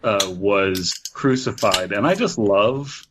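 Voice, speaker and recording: A man's voice: on the low side, expressive, takes it slowly, noisy background. A man co-hosting a podcast, riffing casually and irreverently in a comic chat. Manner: irreverent, profane. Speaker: podcast host.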